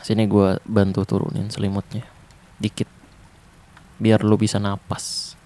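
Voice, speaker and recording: A young man doing a soft, intimate, teasing ASMR boyfriend roleplay. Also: on the low side, completely flat delivery, unhurried.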